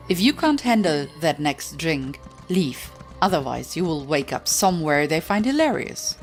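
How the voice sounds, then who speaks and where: A woman reading a scripted, humorous fantasy book aloud in a measured storytelling manner. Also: low-pitched. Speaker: audiobook reader. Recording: music behind the reading. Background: background music.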